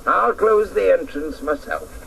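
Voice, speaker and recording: A woman's voice: fairly low pitch, expressive, creaky, a little hiss or hum, rough recording. An actress in a radio drama playing a scornful, vengeful old woman who taunts imperiously and draws out her words. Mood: mocking. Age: older. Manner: taunting, imperious, drawn-out theatrical delivery.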